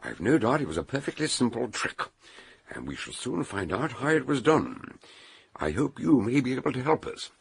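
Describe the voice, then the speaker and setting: A man's voice: medium pitch, big pitch swings, normal speed, creaky, husky, no echo, heavily acted. An actor playing a shrewd, imposing scholarly detective in a radio drama, assured and probing as he narrates and questions. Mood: assured, dry.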